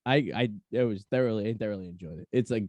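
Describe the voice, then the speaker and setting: Mellow man in casual chat. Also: on the low side, fast talker, no room sound. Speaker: podcast host.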